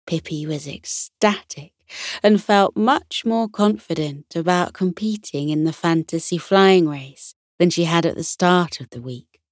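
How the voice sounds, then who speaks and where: A woman narrating a scripted children's bedtime story in a gentle, soothing storytelling manner. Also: on the low side, measured pace, no echo, clean studio sound.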